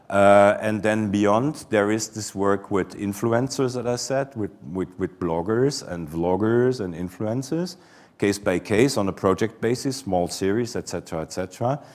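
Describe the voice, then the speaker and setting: A Belgian man answering audience questions after a conference talk, often hesitating and repeating words before he warms up. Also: deep voice. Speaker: conference presenter.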